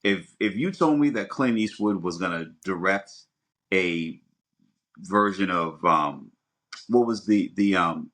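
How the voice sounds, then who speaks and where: A man's voice: low voice, slow, fairly bright tone. A man co-hosting a podcast in casual chat, emphatic and repeating his words in bursts. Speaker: podcast co-host.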